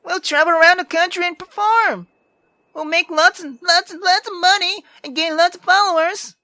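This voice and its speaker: Woman as a very high-pitched sassy teenage girl. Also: normal speed, bright, forceful tone, dry recording, exaggerated voice. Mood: excited. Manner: foul-mouthed, teasing, theatrical. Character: brash, sarcastic sixteen-year-old piano-playing girl.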